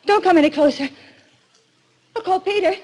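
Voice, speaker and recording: A woman's voice: extremely high pitch, moderate pace, darkish tone. An actress playing a frightened, haunted woman in breathless, stammering 1940s radio melodrama. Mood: terrified. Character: terrified young woman haunted by a killer. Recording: noisy vintage radio broadcast.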